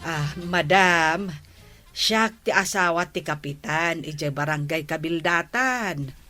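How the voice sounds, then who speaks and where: A woman's voice: low-pitched, slow, pushed and bright, no echo, soft background music, exaggerated voice. A woman playing a village captain's wife in a radio comedy drama, speaking politely and earnestly.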